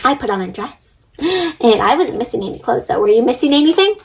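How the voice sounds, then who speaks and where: A woman hosting an interactive read-aloud for children, expressive and encouraging, putting questions and simple instructions straight to her young viewers. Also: a little high, a little fast, music in the background.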